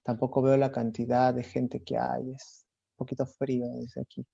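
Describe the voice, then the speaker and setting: Man, gravelly dark voice, offhand mutter, lo-fi sound. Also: medium pitch, little pitch movement, normal speed. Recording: dull lo-fi sound.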